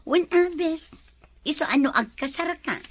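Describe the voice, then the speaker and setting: A supportive, agreeable best friend in a radio drama. She speaks in a high voice and takes her words slowly. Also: animated, no echo. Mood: agreeable, playful. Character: agreeable female best friend of the resort boss.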